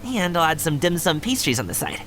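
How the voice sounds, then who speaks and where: Lively, boyish, friendly young guy. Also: a little high, fairly quick. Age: young adult. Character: friendly, attentive young man, awkward about family. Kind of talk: anime-style game voice acting. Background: game music.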